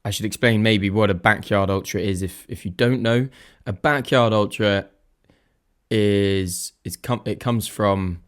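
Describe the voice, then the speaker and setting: Croaky-voiced man hosting a chatty podcast. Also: lowish voice, measured pace. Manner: chatty and self-deprecating, thinks aloud. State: slightly croaky voice. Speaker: podcast host. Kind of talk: casual solo podcast chat.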